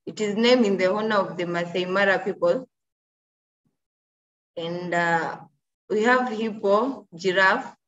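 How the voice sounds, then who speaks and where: A woman giving a slow slide presentation over a video call, listing items one by one with pauses. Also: mid-range voice, fairly bright tone. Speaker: student presenter. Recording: dull lo-fi call audio with faint noise.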